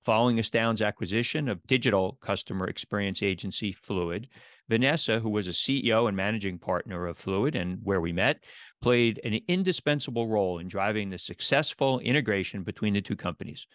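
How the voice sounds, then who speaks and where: A man with a fairly deep voice hosts an interview podcast. He speaks in a measured, presenter's manner. The sound is very dry, with little room around him. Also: a bit bright, no echo. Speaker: podcast host. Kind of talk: scripted podcast intro and interview.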